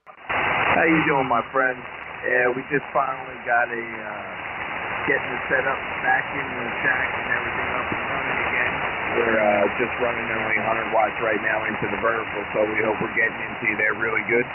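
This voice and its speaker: A man's voice: mid-range voice, level tone, fairly quick. A man from the United States chatting casually as an amateur radio operator calling in over the air. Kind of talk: casual ham radio chat. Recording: muffled, very noisy ham radio signal.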